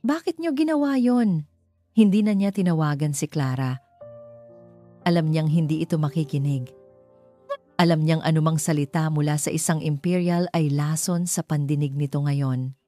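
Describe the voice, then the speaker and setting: A woman's voice: low-pitched, fairly even intonation, normal speed, warm tone, no echo. A woman narrating a scripted dramatic love story, reading as a storyteller. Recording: music bed under the voice. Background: background music.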